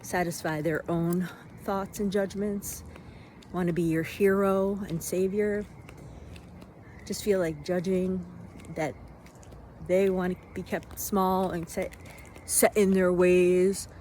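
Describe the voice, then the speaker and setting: A woman giving an unscripted motivational monologue in a reflective way, in halting phrases with deliberate pauses. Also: on the low side, steady tone, dark tone. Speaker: vlogger giving self-help reflections. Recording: noisy, vehicle-like ambient sound.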